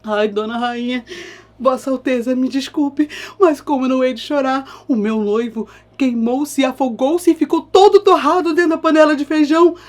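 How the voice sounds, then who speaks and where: A woman's voice: moderate pace, close to an everyday voice. A woman playing a weepy, wailing cockroach bride. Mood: lamenting. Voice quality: wailing, sobbing high cries.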